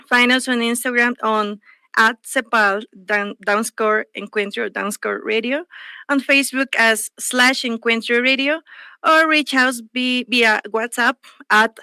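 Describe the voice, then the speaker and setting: A woman hosts a radio talk program in English as a native Spanish speaker. Her voice is high and clear, with a crisp, forward brightness. The sound is dry and close. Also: fairly even intonation, a little slow, no echo. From El Salvador.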